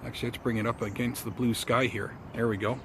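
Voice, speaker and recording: A man hosting a casual gardening vlog outdoors, talking informally to viewers in an unhurried, hesitant way. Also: mid-range voice, flat delivery, noisy background. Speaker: host of a bonsai gardening vlog.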